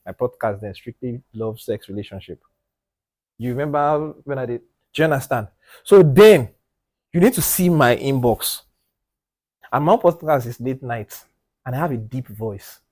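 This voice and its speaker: A man speaks on a studio podcast, lively and insistent. He swings from raised, emphatic delivery down to a low, hushed voice when driving a point home. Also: normal speed, long pauses. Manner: animated and emphatic, repeats "do you understand?"; drops to a low, quiet voice when making a point. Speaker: podcast co-host. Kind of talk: casual group discussion.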